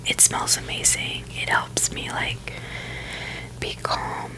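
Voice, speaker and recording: A woman's voice: slow pace, low-quality recording. Whispering woman, casual ASMR review. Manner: informal, opinionated, loosely rambling. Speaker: ASMR video creator.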